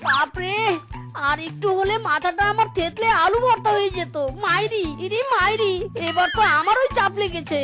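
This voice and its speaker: Little trickster fox, very high voice, taunting, exclamatory, cartoon delivery, music behind. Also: normal speed. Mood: indignant, amazed. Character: mischievous, cheeky little fox who plays vengeful pranks. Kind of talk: cartoon character acting.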